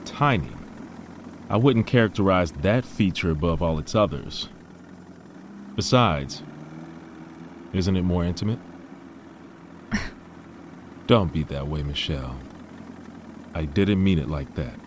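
Deep-voiced, self-assured billionaire mogul. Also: male voice, animated, slow pace, long pauses, background noise. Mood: teasing, placating. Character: male billionaire tech mogul, self-assured, idealistic, genteel. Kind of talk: audio drama dialogue.

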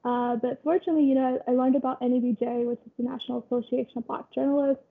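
A young woman with a high voice and a gravelly, husky edge talks through a thin, phone-like line. She tells her story loosely, full of fillers and small pauses. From Kenya. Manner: relaxed storytelling with frequent fillers and laughs. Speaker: guest, photographer and community founder. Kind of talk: conversational interview.

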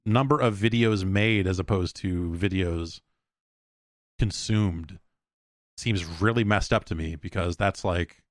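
An American man hosting a podcast alone in a casual, rambling, halting way, thinking aloud and speaking bluntly. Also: sits low, no echo, clean studio sound. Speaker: podcast host. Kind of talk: casual solo podcast talk, reading listener emails.

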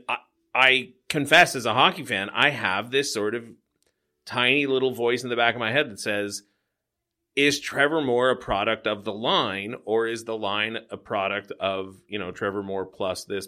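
A man hosting a casual hockey chat, upbeat and quick to laugh. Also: mid-range voice, bright, forceful tone. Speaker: host.

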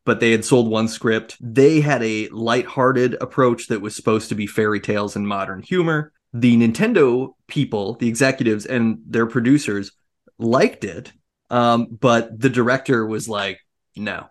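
A man's voice: medium pitch, moderate pace. An American man co-hosting a podcast, explaining things informally with mock-grand, tongue-in-cheek jokes. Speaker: podcast co-host.

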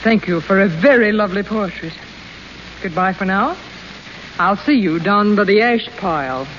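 A woman's voice: mid-range voice, lively intonation, moderate pace, a little hiss or hum, natural voice. An actress playing a haughty, petulant, spoiled young society woman, in a muffled 1930s radio broadcast. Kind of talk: 1930s radio play acting.

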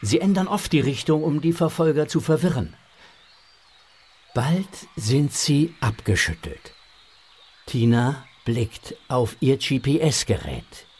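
A man narrating a scripted children's audio story with a husky voice. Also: mid-range voice, lively intonation, slow, a little mellow.

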